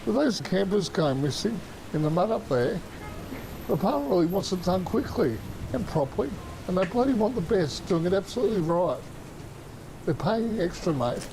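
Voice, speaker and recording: A man's voice: sits high, animated, normal speed, gravelly, over music. A man with an Australian accent playing a blunt, matey office boss in an audio drama, talking in colloquial, mildly sweary slang. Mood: blunt, pressing. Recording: noisy track with rain ambience.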